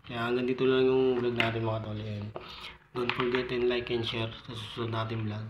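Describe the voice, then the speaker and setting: Flat-toned Filipino man, echoey noisy recording. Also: medium pitch, moderate pace. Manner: repeatedly addresses viewers as buddies, informal. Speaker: vlogger reviewing motorcycle gear. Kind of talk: casual vlog product walkthrough. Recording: echoey room with clear background noise.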